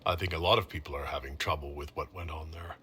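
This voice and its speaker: A man playing a steady, concerned heroic leader in a video game, speaking in a measured, questioning way. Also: rumbling low, a little hiss or hum. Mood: curious. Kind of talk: video game cutscene dialogue.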